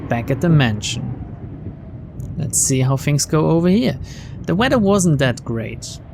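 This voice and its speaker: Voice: medium pitch, sing-song, unhurried, vocal fry, background noise. A let's player reading visual novel narration aloud, breaking off for informal, sweary asides. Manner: sweary, informal reactions between read lines.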